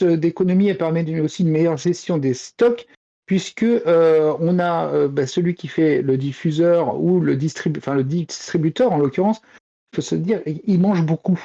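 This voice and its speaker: A man on a podcast panel explaining things casually and haltingly, piecing his sentences together, over a dull voice link. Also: on the high side, mellow.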